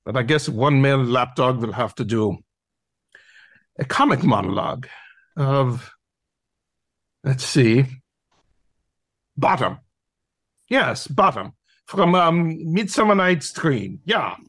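A man's voice: mid-range voice, big pitch swings, slow pace, long pauses, fairly bright tone, no room sound, studio quality, exaggerated voice. A man playing a haughty, demanding dog teacher of acting in an audio play, with a pompous, theatrical manner.

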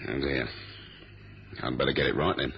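Very deep-voiced wry British spy. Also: male voice, fairly even intonation, normal speed, vocal fry, husky, full character voice. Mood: terse, calm. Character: wry, laconic male British secret agent. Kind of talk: 1970s radio spy drama acting. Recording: dull old radio recording.

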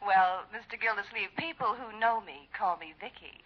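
Female actor as flirtatious spinster, coy, medium pitch, vintage radio sitcom, muffled recording. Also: moderate pace, natural voice. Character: coy, flirtatious younger spinster sister-in-law. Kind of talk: 1950s radio situation comedy acting.

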